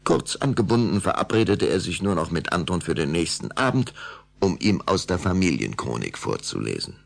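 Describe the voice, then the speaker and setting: A man narrating a scripted children's audio story in an expressive, storytelling manner. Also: normal speed. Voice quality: deep, gravelly.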